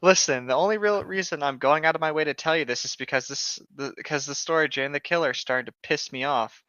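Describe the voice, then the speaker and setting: Bright sharp guy cracking sardonic jokes as co-host, bit of a dull lo-fi mic. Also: fairly high pitch, fast, no room sound. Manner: joking, mock-exasperated, sardonic. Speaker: co-host reacting to online horror fiction. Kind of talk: casual banter and read-aloud.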